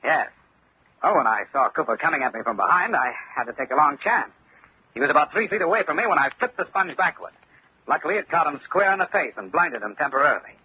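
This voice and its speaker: Hard-boiled radio detective, higher-pitched, lo-fi. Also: male voice, very animated, quick pace. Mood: matter-of-fact. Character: sharp, tough male investigator, brisk and self-assured. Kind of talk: old-time radio detective drama acting. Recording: dull lo-fi old radio, faint noise.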